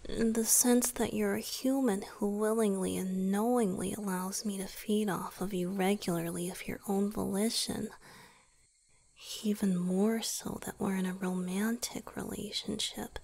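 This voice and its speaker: Woman voicing gentle male vampire lover, breathy, often whispered, medium pitch, unhurried, tender, hesitant pauses, intimate ASMR roleplay. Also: full character voice. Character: gentle, devoted male vampire boyfriend, centuries-old, weary.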